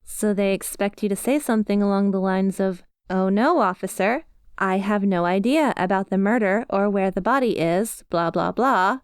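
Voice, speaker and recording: A woman's voice: medium pitch, moderate pace, clean tone, no room sound, studio quality, close to an everyday voice. A woman playing a bubbly, inept young yandere schoolgirl in an ASMR roleplay, chatty, melodramatic and wheedling as she talks to her crush. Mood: sheepish, chatty.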